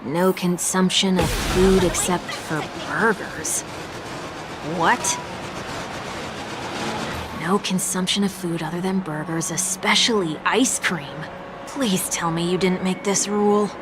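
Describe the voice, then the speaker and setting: A woman's voice: on the low side, steady tone, slow, background noise. An actress voicing a self-assured young woman with authority in a video game, direct and wry, with a teasing streak. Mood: curious, wry.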